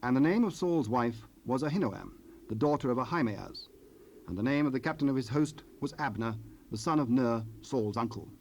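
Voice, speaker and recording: Casting: male scripture narrator, mid-range, solemn and measured, old cassette noise with ambient effects behind. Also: medium pitch, lively intonation, darkish tone. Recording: noisy cassette rip, ambient sound.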